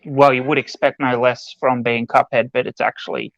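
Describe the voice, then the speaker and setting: A man with a middle-pitched voice chats casually as a podcast panelist. His recording is dry, with a slightly lo-fi sound. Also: level tone, moderate pace, vocal fry, fairly bright tone, dry recording. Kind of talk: casual chat.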